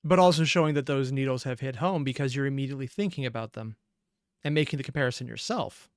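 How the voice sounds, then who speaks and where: Casual commentary host, medium-pitched, invested and halting, in a dry close recording. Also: no room sound. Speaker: reaction video host.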